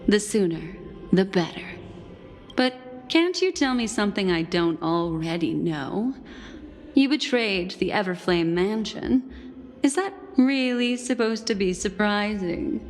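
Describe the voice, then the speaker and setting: A woman playing a cool, wary, sharp-minded rival in a video game, with a measured, dry, accusing and dismissive manner. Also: medium pitch, expressive, natural voice. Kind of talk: dramatic video game voice acting. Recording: long reverb, game music behind.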